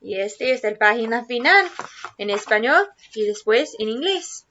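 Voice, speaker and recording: A woman teacher reading a children's storybook aloud to students, expressively and deliberately. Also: a little high, lively intonation, sharp tone, muffled recording, slight background noise. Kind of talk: children's storybook read-aloud in Spanish and English.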